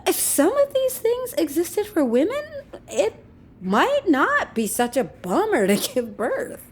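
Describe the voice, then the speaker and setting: A woman's voice: extremely high pitch, wildly swooping pitch, slow pace, exaggerated voice. An actress playing a breezy, sardonic, slangy woman who teases her panicking male partner in a naturalistic audio drama. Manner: flippant, teasing, slangy.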